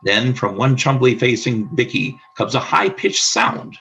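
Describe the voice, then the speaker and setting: A man's voice: medium pitch, sing-song, a little slow, gravelly, fairly bright tone, rough recording. A man reading scripted stage directions as the narrator of a radio play.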